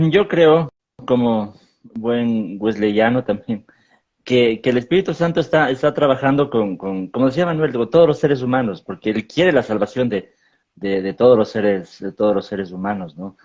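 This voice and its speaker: A man, a pastor, explaining theology in a measured way in a panel discussion, often repeating words as he thinks. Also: medium pitch, a little mellow, dull audio.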